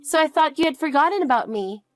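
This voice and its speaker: A woman's voice: high voice, animated, fast talker, no echo, pitched above the performer's own voice. A woman voicing an anxious, clingy young girl who pleads and asks question after question in a dramatized story. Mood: eager.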